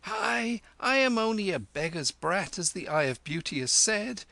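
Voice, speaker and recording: A man voicing a cunning young street boy who flatters and wheedles. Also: fairly high pitch, a little slow, dry recording, pitched above the performer's own voice, heavily acted. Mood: wheedling.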